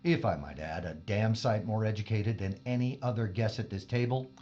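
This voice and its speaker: A man's voice: lowish voice, moderate pace, a little mellow, slight background noise, natural voice. A man playing a prickly, condescending scientist who speaks formally and precisely in a space audio drama. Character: haughty, exacting male scientist, prickly loner aboard spaceship. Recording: dull band-limited audio with faint music.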